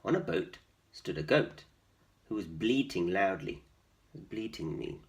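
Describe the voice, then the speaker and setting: A man tells a children's story aloud at an unhurried pace. His pitch moves widely and expressively as he narrates and adds little explanatory asides. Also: expressive.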